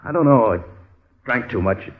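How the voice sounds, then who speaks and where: Radio drama: male actor as suspicious American sailor behind bars, rapid, colloquial, lo-fi vintage sound. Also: medium pitch, animated, lightly acted. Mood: bewildered, defensive. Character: wary, jailed American sailor, down on his luck. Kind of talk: old-time radio acting. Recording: muffled old radio recording.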